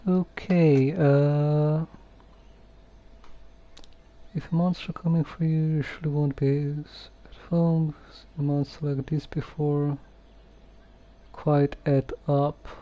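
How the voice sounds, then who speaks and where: A game streamer giving casual live commentary in a hesitant, halting, subdued way. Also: mid-range voice, flat delivery, muffled recording.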